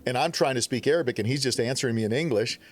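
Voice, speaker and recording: A man from the Midwest, telling stories on a podcast in a casual, animated way, acting out quotes. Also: medium pitch, very fast. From Upper Midwest. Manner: animated storyteller, acts out quotes. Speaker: podcast guest. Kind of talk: casual storytelling.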